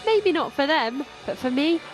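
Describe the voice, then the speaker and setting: A woman's voice: high voice, expressive, normal speed, music in the background. A British woman giving a light-hearted, wry, self-mocking scripted voice-over. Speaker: narrator and presenter.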